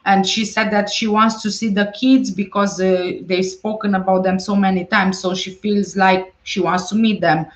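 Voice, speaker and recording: A woman's voice: medium pitch, steady tone, normal speed, few pauses, echoey room. A woman hosting a casual livestream, reading viewer comments aloud and answering them conversationally. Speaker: livestream host. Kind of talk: casual livestream chat about a case.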